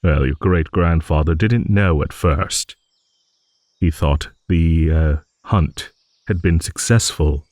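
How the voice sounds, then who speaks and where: Man voicing a deep, slow, ancient dragon. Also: a little mellow, dry recording, clean studio sound. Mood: reminiscing. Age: older. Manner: rambling, musing, with hums and chuckles. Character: ancient, rambling, good-natured old male dragon. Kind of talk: improvised fantasy audio roleplay monologue.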